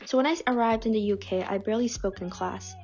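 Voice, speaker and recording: A woman reading out submitted personal stories in a calm, measured way. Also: mid-range voice. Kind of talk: scripted reading. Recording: dull lo-fi audio with faint music.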